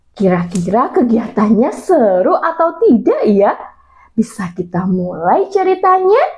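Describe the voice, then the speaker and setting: A woman storyteller speaks slowly in a high voice that swings animatedly up and down. She addresses young children directly and asks them questions. The recording is a little dull. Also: a little mellow.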